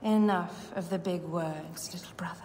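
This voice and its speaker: A woman's voice: lowish voice, fairly even intonation, normal speed, a little mellow, lightly acted. A woman as an imperious queen in a reverberant hall. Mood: scornful. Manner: regal, disdainful. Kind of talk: video game cutscene acting. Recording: long hall reverb, faint background noise.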